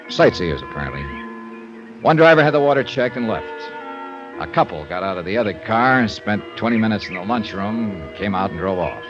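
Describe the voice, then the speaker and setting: An actor playing a wry, easygoing insurance investigator who narrates in a dry, laconic first person, in a 1950s radio drama. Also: male voice, lowish voice. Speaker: narrator and lead. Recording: dull old radio broadcast with music.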